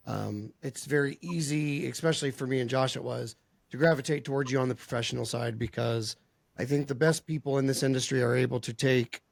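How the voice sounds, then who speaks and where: A man's voice: mid-range voice, creaky, darkish tone, dry recording. A male podcast host losing his voice, chatting earnestly with a guest and often repeating and restarting his words. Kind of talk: casual interview chat.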